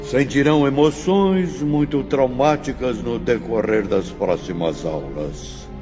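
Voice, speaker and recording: A man playing a grave spirit lecturer who addresses students in a solemn, emphatic, deliberate audiobook drama style. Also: mid-range voice, over music, close to an everyday voice. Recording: muffled, long hall reverb.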